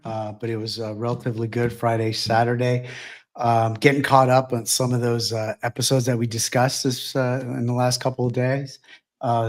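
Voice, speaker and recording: A man co-hosts a podcast in an easygoing voice. He wanders through his thoughts with frequent fillers. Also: mid-range voice. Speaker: podcast co-host. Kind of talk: casual chat.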